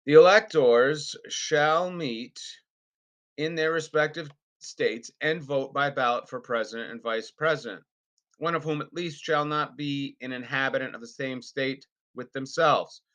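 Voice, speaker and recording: Bright, forceful man reading aloud. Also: medium pitch, unhurried. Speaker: reader. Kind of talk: reading a legal document aloud, unrehearsed.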